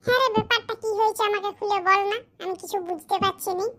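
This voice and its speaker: A performer plays an incredulous cartoon villager with a very high, squeaky voice. He keeps asking questions and doubting what he hears. A faint hiss runs underneath. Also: fairly even intonation, fast, fairly bright tone. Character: curious, skeptical village man, the friend. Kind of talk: cartoon comedy voice acting.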